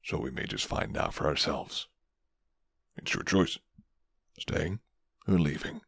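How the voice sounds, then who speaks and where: A man voicing a gruff, flirty but guarded werewolf in an intimate, murmured ASMR roleplay, with teasing pauses. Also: animated, fairly bright tone, dry recording, clean studio sound, full character voice. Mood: low, teasing. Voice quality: deep, low and gravelly.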